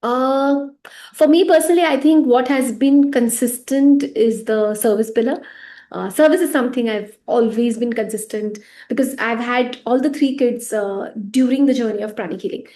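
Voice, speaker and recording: A woman who teaches pranic healing, answering interview questions in a casual, lively way, laughing often and letting her phrases fade softly at the end. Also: fairly high pitch, moderate pace.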